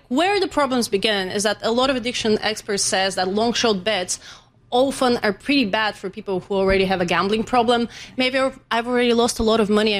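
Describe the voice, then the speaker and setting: A woman speaks as an interviewed journalist. Her voice sits at a middle pitch, and she explains things in a conversational, expert way. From Bulgaria. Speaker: financial journalist being interviewed.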